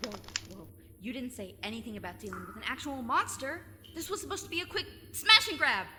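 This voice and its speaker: A woman's voice: high-pitched, expressive, fairly quick, in a large hall, background noise. A woman playing a sarcastic, exasperated teenage girl, dry and sceptical, in an audio drama. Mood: alarmed, reluctant. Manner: dry, sardonic, nagging.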